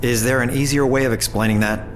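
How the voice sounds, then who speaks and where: A man's voice: medium pitch, steady tone, fast, natural voice. A man acting as an older senator in a sci-fi audio drama, pragmatic and probing. He has a mid-range voice and an insistent, businesslike delivery over faint music. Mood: pressing, skeptical.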